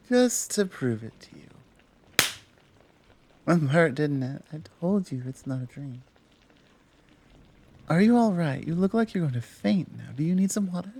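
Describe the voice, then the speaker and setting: A woman playing a tall, powerful, protective Amazon in an intimate ASMR roleplay, talking softly and teasingly with halting pauses. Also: low-pitched, heavily acted. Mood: gentle, caring.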